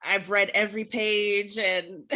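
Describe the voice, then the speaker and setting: Bright-voiced American woman, earnest and articulate. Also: medium pitch, fairly even intonation, slow pace. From United States. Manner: earnest, articulate, proper diction. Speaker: podcast guest, literature scholar. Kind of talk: reflective personal storytelling in a conversational interview.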